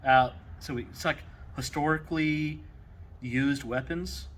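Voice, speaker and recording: A man's voice: on the high side, a little slow, a bit bright, slight background noise. A young man with a Texas accent chatting casually in a home workshop, joking and rambling as he explains a build. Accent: Texan. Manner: joking, rambling, self-deprecating. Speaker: maker building a weapon.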